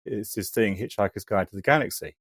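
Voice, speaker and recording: A man's voice: fairly low pitch, fairly quick, fairly bright tone, husky, dry recording, clean studio sound. A middle-aged man, an academic podcast guest, chatting and reminiscing with hesitant, thoughtful phrasing. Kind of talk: casual reminiscing and discussion.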